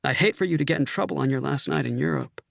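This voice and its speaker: A man voicing a cautious young Marine captain who speaks in a hushed, hurried way. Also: medium pitch, vocal fry, no echo, studio quality. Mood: worried.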